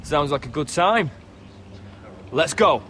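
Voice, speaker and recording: A man's voice: a little high, lively intonation, slow pace, fairly bright tone, faint music behind. A man playing an eager, combative soldier captain in a fantasy video game, urging action like a conspirator. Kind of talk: dramatic video game acting.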